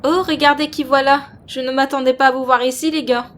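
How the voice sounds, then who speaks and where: High-voiced confident cartoon heroine played by a woman. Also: fairly even intonation, brisk, fairly bright tone, slight background noise. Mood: teasing. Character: confident, analytical female agent, cartoon heroine. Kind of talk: fan-dub cartoon acting.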